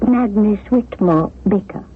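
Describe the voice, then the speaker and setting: An actress playing a genteel, chatty, curious widow in a 1970s radio drama. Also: female voice, medium pitch, measured pace, noisy background, close to an everyday voice. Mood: curious, puzzled. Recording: muffled, thin vintage radio recording.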